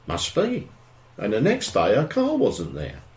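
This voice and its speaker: An actor playing a nosy, grumbling suburban husband with a morbid streak in an audio drama, speaking matter-of-factly with a British accent. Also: male voice, mid-range voice, huge pitch swings, a little slow, a little mellow. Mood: recalling, animated. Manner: grumbling, opinionated, matter-of-fact. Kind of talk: audio drama dialogue, naturalistic.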